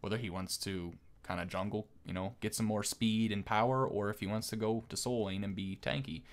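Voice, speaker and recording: A man's voice: fairly low pitch, steady tone, fairly quick. A man giving casual game commentary as a video host, explaining things in a conversational way.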